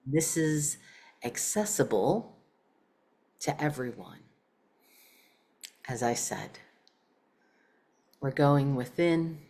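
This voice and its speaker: Very low-voiced woman guiding meditation. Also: expressive, mellow. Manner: measured, pausing between short phrases. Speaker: meditation teacher. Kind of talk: guided meditation.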